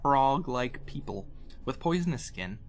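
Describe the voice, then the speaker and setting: A man's voice: medium pitch, lively intonation, measured pace, soft background music. A man speaking informally and conversationally as a podcast host and dungeon master. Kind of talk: casual banter and game recap.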